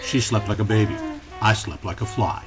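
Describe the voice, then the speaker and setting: A man playing a wry, terse, deadpan private eye in a film noir radio play. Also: fairly low pitch, a little fast, natural voice. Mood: wry, brooding. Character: wry hard-boiled male private eye. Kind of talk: film noir first-person radio narration. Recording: music behind the voice.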